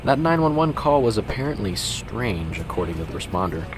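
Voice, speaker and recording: Noticeable ambient noise sits behind a fairly low male voice. A man acts a young, dutiful police officer in an amateur radio play. His delivery is earnest, measured and conscientious. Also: big pitch swings. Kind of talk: amateur radio drama acting. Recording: clearly noisy, vehicle-like background ambience.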